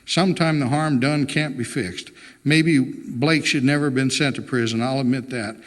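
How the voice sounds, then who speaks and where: A man's voice: mid-range voice, normal speed, long reverb tail, natural voice. A man voicing a reluctant, plainspoken husband in a storybook reading, stubborn, wry and resigned.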